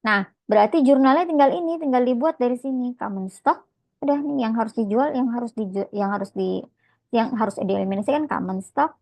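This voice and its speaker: Perempuan Indonesia bersuara agak tinggi, gaya dosen. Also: female voice, a little high, fairly quick, darkish tone, no echo. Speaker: accounting lecturer. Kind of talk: informal lecture explanation, step by step.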